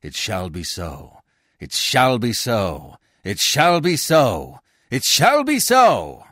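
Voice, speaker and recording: A man's voice: a little high, very animated, slow, a bit bright, no echo, clean studio sound, voice pushed up, exaggerated voice. A man voicing a crowd of rowdy Roman citizens who chant and jeer together. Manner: chanting, jeering.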